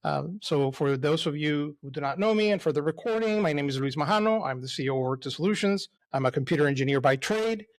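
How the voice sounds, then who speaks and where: A man's voice: fairly high pitch, lively intonation, fast talker, few pauses. Chatty man presenting a tech webinar. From El Salvador. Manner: enthusiastic, conversational, frequent "right?" and "uh" fillers. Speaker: CEO presenting a technical webinar. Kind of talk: casual live webinar presentation.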